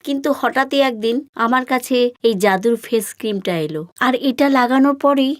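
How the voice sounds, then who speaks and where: A woman voicing a gentle mother who confides in her daughter in a cartoon fairy tale. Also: on the high side, fairly quick, no room sound, clean studio sound, close to an everyday voice. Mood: tender confiding. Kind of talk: cartoon story monologue.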